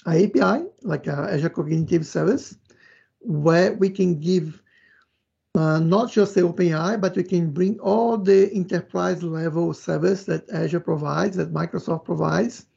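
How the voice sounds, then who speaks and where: Brazilian guy doing a casual tech talk, gravelly kinda mellow voice, muffled audio. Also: on the high side. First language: Portuguese. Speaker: tech presenter. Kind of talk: informal tech presentation.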